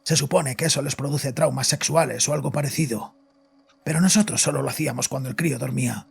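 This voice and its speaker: An actor playing a guilt-ridden, frightened father confessing to his psychiatrist in a horror audio drama, agitated and halting. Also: medium pitch, vocal fry, husky, exaggerated voice. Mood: grim, defensive. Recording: voice over background music.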